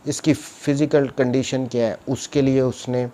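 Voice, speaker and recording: A man's voice: medium pitch, steady tone, measured pace, mellow. A man with a Pakistani accent giving an explanatory law lecture, mixing in English terms and answering his own questions step by step.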